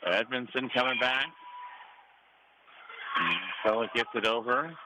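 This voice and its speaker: A man with an American accent calling a live match as a radio play-by-play announcer. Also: mid-range voice. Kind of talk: live sports commentary. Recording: clearly noisy gym ambience behind. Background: gym noise.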